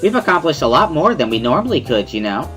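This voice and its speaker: A man voicing a bubbly, playful, energetic teenage schoolgirl in an amateur visual novel reading. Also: on the high side, fast, pitched above the performer's own voice. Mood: chatty, explaining. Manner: playful, cheery, exclaiming. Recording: music behind the voice.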